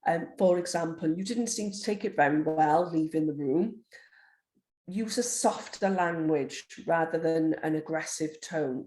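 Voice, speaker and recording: Deep gruff woman lecturing. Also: darkish tone. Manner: talks fast and forthright, with frequent ums. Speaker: nurse educator. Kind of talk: recorded online lecture over slides. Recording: roomy echo.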